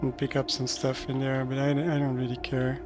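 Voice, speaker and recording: A man in his thirties doing casual, low-key live commentary on a game, thinking aloud as he plays. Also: completely flat delivery, brisk, over music. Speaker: streamer and game developer.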